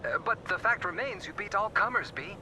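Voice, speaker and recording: A man's voice: high voice, fairly quick, background noise, lightly acted. A man playing an encouraging, folksy teammate in a video game, with a hesitant, chatty manner.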